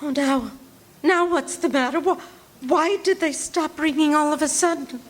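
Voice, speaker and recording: A woman's voice: sits high, normal speed, natural voice. An actress playing a nervous, demanding, self-pitying bedridden woman in a 1940s-style thriller radio play, fretful and melodramatic. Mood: panicky. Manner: imperious, fretful, querulous, melodramatic. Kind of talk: live stage radio drama acting, 1940s thriller.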